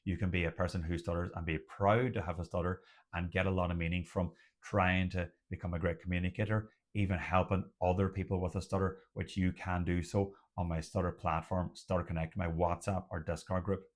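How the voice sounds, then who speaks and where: Man, very low voice, occasional stutter; deliberate, emphatic motivational host. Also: little pitch movement. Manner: stutters at times, slow deliberate emphatic delivery. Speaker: host of a stuttering support channel. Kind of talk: motivational talk to camera.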